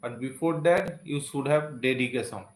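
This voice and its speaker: Indian-accented male English teacher, Santali native, earnest and repetitive, roomy echo, mid-pitched. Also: normal speed, soft background music. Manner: earnest, repeats phrases, sprinkles "okay". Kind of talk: live-stream motivational talk to learners. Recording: echoey room.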